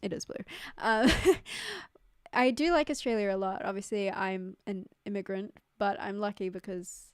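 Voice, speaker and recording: A woman's voice: mid-range voice, moderate pace, smooth, dry recording, clean studio sound. A young woman from New Zealand with a New Zealand accent, chatting casually with her viewers on a livestream, full of "like" and "um". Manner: chatty, many "like" and "um" fillers, laughs easily. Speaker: streamer. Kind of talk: casual livestream chat reading viewer messages. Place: home streaming setup in Queensland. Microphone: studio mic close up.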